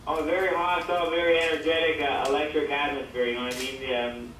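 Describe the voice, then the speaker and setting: A man's voice: on the high side, level tone, fast, fairly bright tone. A man casually answering press questions on a conference call through a speakerphone. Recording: very echoey speakerphone call, distant, faint noise.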